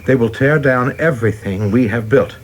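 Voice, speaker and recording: An actor playing an ambitious, zealous young government official in a radio drama, speaking in a formal, intense manner. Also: male voice, mid-range voice, normal speed, slight background noise, close to an everyday voice. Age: young adult.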